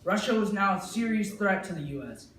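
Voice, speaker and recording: A man's voice: high-pitched, lively intonation, a little fast, a bit bright, slight background noise. A young man presenting a mock 1950s newscast as its anchor, with a hammy, folksy delivery. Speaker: news anchor. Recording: echoey room with music behind.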